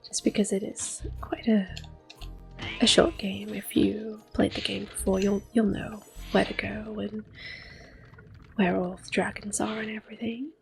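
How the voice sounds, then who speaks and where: A woman giving gentle, hushed ASMR commentary on a video game, slipping between soft speech and whispers. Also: mid-range voice, little pitch movement, unhurried, vocal fry. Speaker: host playing a video game. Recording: soft game music behind. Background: quiet game music.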